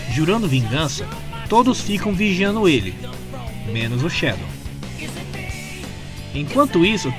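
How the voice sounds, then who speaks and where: A man with a Brazilian accent reading scripted story narration in an expressive storytelling manner. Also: a little high. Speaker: narrator of comic recaps.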